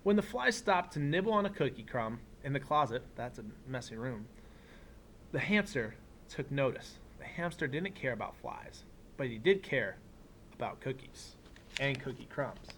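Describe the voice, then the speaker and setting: A man teaching young children, reading a picture book aloud expressively and pausing for simple explanatory asides. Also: mid-range voice, sing-song, a bit bright, a little hiss or hum. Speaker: elementary school teacher.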